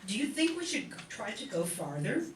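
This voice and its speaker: A woman playing a practical, gently fretful family mother in an old-time radio comedy. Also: medium pitch, big pitch swings, brisk, natural voice. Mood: worried. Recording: roomy, distant mic, faint noise.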